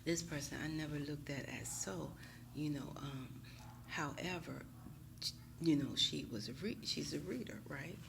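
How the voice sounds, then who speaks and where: An American woman talking casually and emphatically to her viewers in a slangy, animated storytime style, often checking in with them. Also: deep voice, a little slow, vocal fry, background noise. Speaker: YouTube tarot reader.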